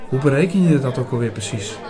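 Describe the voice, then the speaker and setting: A man playing an earnest older priest who narrates a radio drama in a measured way, with dramatic pauses. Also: medium pitch, lively intonation, close to an everyday voice. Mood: thoughtful, eager. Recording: busy chatter behind the voice. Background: busy restaurant crowd.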